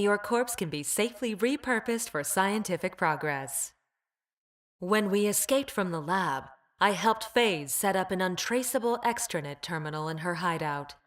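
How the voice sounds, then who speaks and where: A woman's voice: medium pitch, close to an everyday voice. A woman playing a clinical, detached female android scientist in a video game, speaking with formal precision and ruthless logic. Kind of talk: video game character voice lines.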